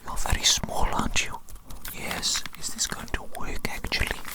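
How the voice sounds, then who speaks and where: A woman playing a doting, eccentric evil doctor in a whispered ASMR roleplay, breathy, fussy and affectionate, repeating phrases slowly. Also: lightly acted. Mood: fussy, reassuring.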